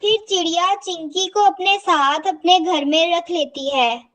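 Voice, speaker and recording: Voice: very high voice, measured pace, fairly bright tone. A narrator telling a scripted children's cartoon story.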